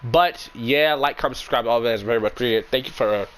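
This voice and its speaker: A young man with a sick, raspy voice, giving frank, rambling opinions as a gaming YouTuber in casual commentary. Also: mid-range voice, quick pace, a bit bright.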